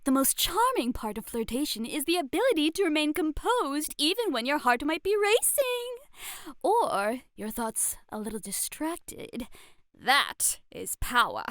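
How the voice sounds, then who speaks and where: A woman's voice: sits high, big pitch swings, unhurried, a bit bright, no room sound, clean studio sound, exaggerated voice. A woman playing a playful, eccentric, elegant flirtation tutor in an ASMR roleplay, with coy, theatrical, grandly formal phrasing. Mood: teasing, encouraging.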